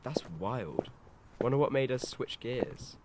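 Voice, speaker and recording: A man's voice: medium pitch, slow pace, noisy background, natural voice. A young man acting a laid-back teenage skater boy in a scripted audio drama, talking in casual slang. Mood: casual, surprised.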